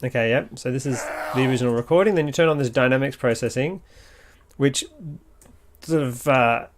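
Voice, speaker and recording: A man with a mid-pitched voice talks through a sound design demonstration in a casual, explanatory way. He thinks aloud as he works through each step, with some faint noise behind him. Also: a little fast. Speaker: sound designer giving a tutorial. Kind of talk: casual software walkthrough.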